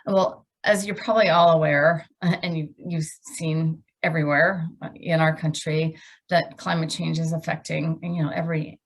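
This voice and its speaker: A woman, founder of a travel company, hosting a webinar presentation, speaking hesitantly with frequent pauses and restarts. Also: low voice, steady tone. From United States. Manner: hesitant, many fillers and restarts.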